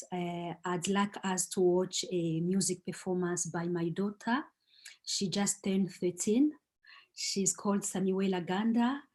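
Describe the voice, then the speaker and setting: A middle-aged woman speaks at an online talk. Her voice is warm and mellow, sitting a little low for a woman. She speaks in a measured way, pausing and hesitating often. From Democratic Republic of Congo. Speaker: guest speaker, singer-songwriter.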